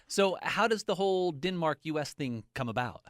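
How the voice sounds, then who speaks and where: A man's voice: fairly high pitch, animated, normal speed, no room sound, clean studio sound. A middle-aged man hosting a radio interview, chatty and jokey, poking fun at himself. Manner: chatty, jokey, self-deprecating. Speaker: radio host.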